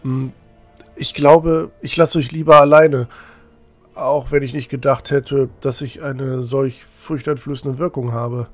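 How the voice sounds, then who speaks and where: Guy playing a kind pious guardian, husky, takes his time, reassuring, dry sound with music. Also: mid-range voice, fairly even intonation, unhurried, no room sound, natural voice. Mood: apologetic, reassuring. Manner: friendly, hesitant, reassuring. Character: kindly, pious protector devoted to a goddess. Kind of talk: audio drama acting.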